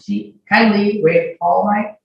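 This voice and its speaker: A woman's voice: mid-range voice, steady tone, slow, vocal fry, muffled recording. Woman testifying, very echoey and distant. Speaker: witness testifying in court. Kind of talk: answering questions under questioning. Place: echoey courtroom, far from the mic. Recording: echoey, distant courtroom audio with faint noise.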